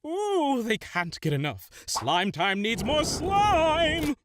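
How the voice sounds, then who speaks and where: A woman's voice: sits high, big pitch swings, takes it slowly, heavily acted. A woman voicing a pushy, self-styled vlogging expert in a cartoon, who talks in a bossy, dramatic way. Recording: music underneath.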